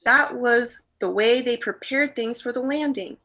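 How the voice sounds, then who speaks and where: A woman acts out a scared, stubborn young boy in a radio drama. The voice is high and sharp, pushing to be believed. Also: a little slow, heavily acted. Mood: frightened, insistent. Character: frightened, defiant young boy in a radio mystery. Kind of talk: radio play acting.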